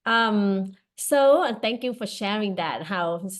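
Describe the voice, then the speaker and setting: A woman from Thailand hosting a casual interview, speaking in a friendly, halting way with many fillers. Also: mid-range voice, expressive. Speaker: interview host.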